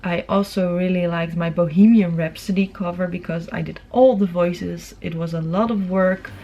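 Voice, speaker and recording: A woman's voice: on the low side, steady tone, moderate pace, lo-fi sound, over music. A young adult woman, chatting informally as she answers viewer questions in a casual vlog. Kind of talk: casual Q&A chat to camera. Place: room at home.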